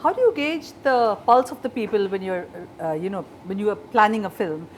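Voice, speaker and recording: A woman's voice: medium pitch, brisk, darkish tone, slight background noise, rough recording. A woman with an Indian English accent, interviewing a guest with probing questions and brief affirmations.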